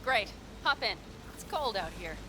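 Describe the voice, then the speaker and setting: A woman's voice: medium pitch, very animated, a little slow, sharp tone, slight background noise. An actress voicing a blunt, self-assured female desert nomad in a video game who lays out plans in a dry, matter-of-fact way. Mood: calm, assured. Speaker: game character.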